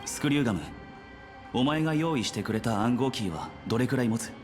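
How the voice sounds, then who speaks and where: An actor playing a stoic young warrior, terse and grave, in an anime-style game. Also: male voice, mid-range voice, expressive, very fast, natural voice. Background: faint game music.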